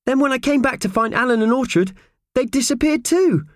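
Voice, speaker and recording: A man voicing a small, excitable, anxious young male friend in a children's audiobook, eager and fretful. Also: extremely high pitch, a little fast, no room sound, clean studio sound, pitched above the performer's own voice. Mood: anxious, apologetic.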